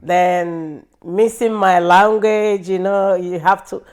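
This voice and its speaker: A middle-aged woman from Nigeria with a Nigerian accent, speaking slowly and thoughtfully in an interview about her life, with frequent pauses and fillers. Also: mid-range voice, steady tone, a little mellow. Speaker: interview guest. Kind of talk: reflective personal interview.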